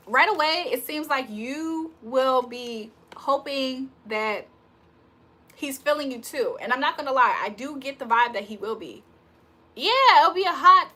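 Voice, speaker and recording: Bright, chatty woman reading tarot live. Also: on the high side. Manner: chatty, reads viewer comments aloud, slangy. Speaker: livestream tarot reader. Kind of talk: casual livestream chat.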